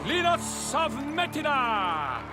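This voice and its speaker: Fantasy game herald: very high pitch, slow grand proclamations, pompous and theatrical, music behind. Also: male voice, wildly swooping pitch, exaggerated voice. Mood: grand, proclaiming. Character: florid, pompous male herald bard proclaiming in rhyme. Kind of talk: theatrical video game voice acting.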